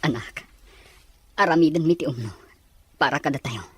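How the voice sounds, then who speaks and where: A man playing a calm, conciliatory father in a radio drama, with an earnest, consoling delivery. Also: on the high side, moderate pace, slightly rough, no room sound. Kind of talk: Ilocano radio-drama acting.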